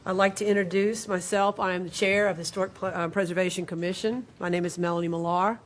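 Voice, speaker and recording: A woman's voice: on the low side, steady tone, fast talker. Woman chairing a public commission meeting, formal delivery on a table mic, faint noise. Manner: formal, procedural, with fillers. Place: county meeting chamber. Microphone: table microphone.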